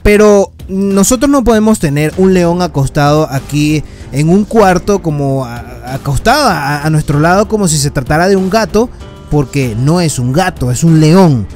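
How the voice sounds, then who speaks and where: A man with a fairly high voice talks through a casual film review as a voice-over. He sounds earnest and keeps speaking to his viewers as friends. Soft music plays faintly underneath. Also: lively intonation, moderate pace. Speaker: film reviewer, channel host.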